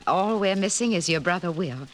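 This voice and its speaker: A woman acting as a doting older mother in a vintage radio play. Her voice swoops gently as she fusses over family, with faint hiss on the old recording. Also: lowish voice, expressive, moderate pace, close to an everyday voice, poor audio. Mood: fond, fussing. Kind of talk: 1950s radio drama acting.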